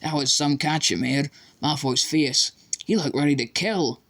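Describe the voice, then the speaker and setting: A man's voice: on the high side, a little slow, a bit bright, pitched above the performer's own voice. A man voicing a cheeky, joking teenage boy.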